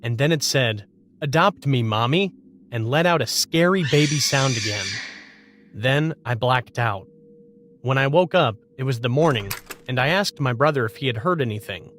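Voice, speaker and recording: A man's voice: mid-range voice, animated, moderate pace, a bit bright, slight background noise. A man narrating a scripted first-person horror story as a storyteller.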